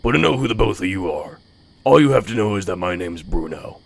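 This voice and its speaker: A man playing a gruff, secretive stranger in an audio drama, speaking in a curt, cryptic way. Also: sits low, animated, a little fast, full character voice. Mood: curt, knowing.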